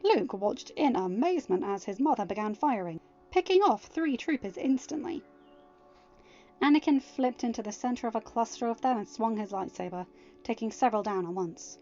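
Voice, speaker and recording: A woman narrating a fan story in a steady reading voice, then chatting casually to listeners. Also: a little high, normal speed, dull audio, faint music behind. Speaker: fanfiction audiobook reader.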